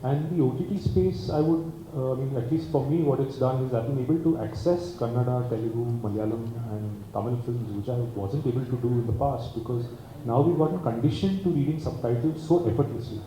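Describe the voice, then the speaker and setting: Guy thinking out loud at a press meet, mid pitch, really echoey with chatter noise. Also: brisk. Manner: reflective, articulate, thinking aloud. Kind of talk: press conference answers. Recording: echoey hall with background noise.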